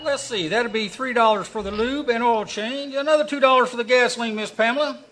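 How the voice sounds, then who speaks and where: A man voicing a chatty small-town mechanic with a very high voice, talking fast and eagerly. It is old-time radio drama. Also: fairly bright tone. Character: eager, gossipy male garage mechanic.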